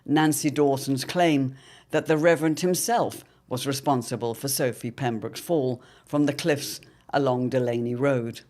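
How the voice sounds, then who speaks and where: A man's voice: medium pitch, steady tone, unhurried, a little mellow. A man narrating a serial drama as announcer, in a dramatic, portentous old-time radio style. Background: music under the voice.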